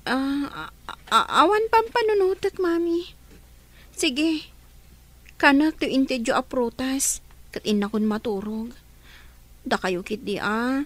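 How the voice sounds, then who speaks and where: A woman playing a troubled, sharp-tongued teenage daughter in a radio drama. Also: sits high, expressive, slow pace, long pauses, full character voice. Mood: guilty, brooding.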